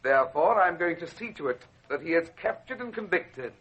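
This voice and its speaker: An actor playing a suave, formal, pompous crime boss in an old radio drama, coolly scheming in a theatrical manner. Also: male voice, a little high, lively intonation, fairly quick. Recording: dull vintage radio recording.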